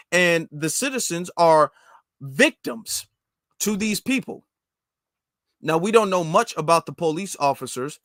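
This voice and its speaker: A man's voice: high voice, fairly bright tone, no room sound, studio quality. An American man giving impassioned political commentary as a host, emphatic and repetitive, with rhetorical questions.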